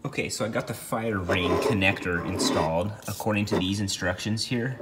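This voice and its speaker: Low-voiced man, noisy casual how-to. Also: moderate pace. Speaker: host of a DIY install video.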